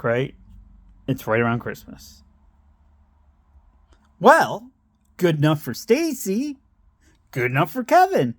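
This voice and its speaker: Middle-aged man, gravelly, dry close sound; rambling anecdote teller who loses his own thread. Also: fairly high pitch, dry recording. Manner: meandering, self-interrupting, laughs at himself. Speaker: storyteller.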